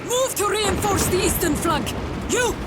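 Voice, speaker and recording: An actor playing a dutiful, deferential soldier officer in a video game, urgently calling out military reports. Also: male voice, extremely high pitch, animated, a little slow, heavy background noise. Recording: loud battle music behind.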